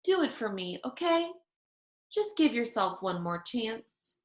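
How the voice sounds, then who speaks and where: Woman reading as kindly, coaxing grandpa, animated pitch. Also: takes it slowly, roomy sound. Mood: earnest, reassuring. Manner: coaxing, gently reassuring.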